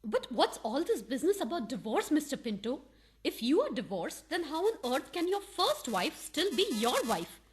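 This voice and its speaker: A woman's voice: high voice, very animated, moderate pace. A woman playing a prim, easily scandalised housewife in a farcical radio play, pointed and theatrical. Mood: baffled, scandalised.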